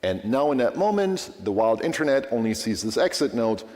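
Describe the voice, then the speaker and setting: Man, German native speaker, conference talk, lively swooping pitch, long hall reverb. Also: moderate pace. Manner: lively, conversational, frequent "right?" checks with audience.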